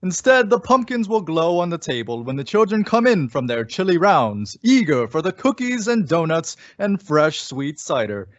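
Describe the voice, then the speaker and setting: A man plays a folksy commercial announcer in old radio style. His high voice swings melodically as he persuades. The sound is dry and a bit dull. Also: sing-song, normal speed, few pauses, dry recording. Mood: cheerfully persuasive. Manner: folksy, salesmanlike. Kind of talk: old-time radio advertising read. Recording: muffled lo-fi recording.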